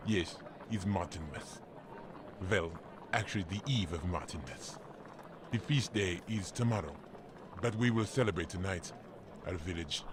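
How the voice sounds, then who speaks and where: Low-voiced kindly village priest. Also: male voice, background noise. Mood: warmly explaining. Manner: gentle, measured, formal, explanatory. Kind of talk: family audio drama acting.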